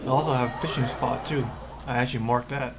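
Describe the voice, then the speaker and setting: A male livestreamer chats casually over his game in a medium-pitched voice. He sounds a little off the mic, with game music clearly behind him. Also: fairly even intonation, fast talker. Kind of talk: casual game stream commentary.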